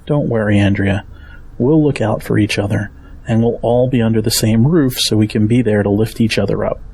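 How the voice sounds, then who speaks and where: An actor playing a steady, protective middle-aged father in an audio drama. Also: fairly low pitch, a little fast, a little hiss or hum, natural voice. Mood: reassuring.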